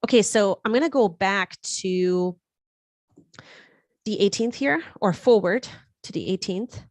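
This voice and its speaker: A woman born and raised in Germany, speaking English as a second language, hosts an astrology forecast. Her voice sits at a middle pitch, and the recording is dry and close. She talks loosely, with fillers and restarts. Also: unhurried, darkish tone, no room sound. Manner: conversational, frequent fillers and restarts. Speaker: astrologer host. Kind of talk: live-streamed astrology forecast.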